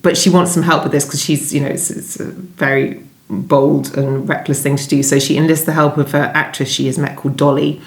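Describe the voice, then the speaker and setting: A low-pitched woman speaks in a roomy, echoing space. She is an author giving conversational interview answers, discursive and often reworking a thought as she talks it through. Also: little pitch movement, a little fast, hardly any pauses. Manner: discursive, thinking aloud, rephrasing as she goes. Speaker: novelist being interviewed about her book. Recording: roomy, echoing indoor sound.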